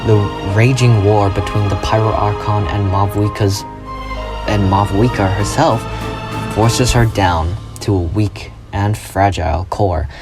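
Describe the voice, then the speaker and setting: Low-voiced man narrating over loud music. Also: fairly even intonation, measured pace. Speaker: video creator presenting a fan theory. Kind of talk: scripted narration, video essay.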